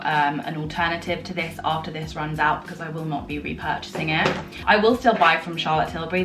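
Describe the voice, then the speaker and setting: Croaky-voiced British woman reviewing makeup. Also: fairly low pitch, distant mic. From United Kingdom. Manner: chatty, frequent "like" fillers. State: croaky, deeper voice from a sore throat. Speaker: beauty YouTuber. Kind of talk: casual product review vlog. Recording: echoey room, a bit off-mic, soft music.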